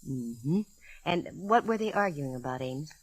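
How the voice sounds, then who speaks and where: A woman's voice: sits low, expressive, breathy edge, dry recording, exaggerated voice. An actress playing a self-assured, wry, flirtatious female private detective in a 1940s radio drama. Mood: probing. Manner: wry, flirtatious, quick with quips. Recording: noisy old radio recording.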